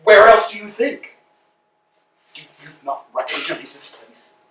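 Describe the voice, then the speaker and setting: An actor playing an anxious, insistent, philosophical tramp in a stage play reading, with a dramatic, questioning, emphatic delivery. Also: male voice, sits high, lively intonation, measured pace, fairly bright tone. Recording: echoey room, distant mic, faint noise.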